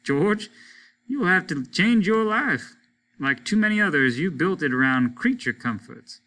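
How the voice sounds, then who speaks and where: A man's voice: sits high, expressive, a little slow, pushed and bright, voice pushed up, heavily acted, rough recording. A man voicing a blunt, middle-aged male doctor who lectures and scolds in a preachy, emphatic way. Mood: lecturing.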